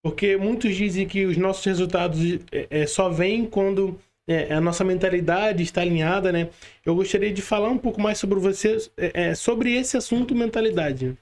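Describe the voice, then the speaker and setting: A man with a Brazilian accent interviewing as a podcast host, halting and repetitive, often adding tag questions. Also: sits high, a little mellow. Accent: Brazilian Portuguese. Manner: halting, repetitive, frequent "né" tags. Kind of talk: conversational interviewing.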